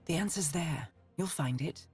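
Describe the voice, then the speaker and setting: A British actress voicing a calm, refined young police sheriff with crisp, wry delivery for a video game. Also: female voice, low-pitched, normal speed, faint music behind. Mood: composed. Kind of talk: video game character voice lines.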